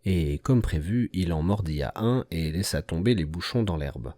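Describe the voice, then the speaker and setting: A man's voice: low voice, lively intonation, normal speed, mellow, dry recording. A man reading a scripted children's tale as a storyteller.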